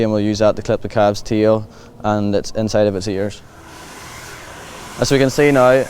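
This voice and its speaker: Man, Northern Irish accent, little pitch movement, practical instructor commentary, plain and reassuring, noticeable electric buzz in background. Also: darkish tone. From Northern Ireland. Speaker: livestock clipping demonstrator. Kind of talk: explanatory live demonstration commentary. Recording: clear buzzing clipper and machinery noise.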